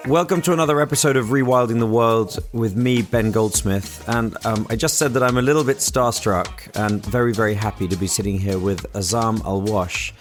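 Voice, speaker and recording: A British man hosts an interview podcast with a fairly low voice. He asks questions politely and conversationally, in halting phrases. Some quiet music can be heard with him. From Britain.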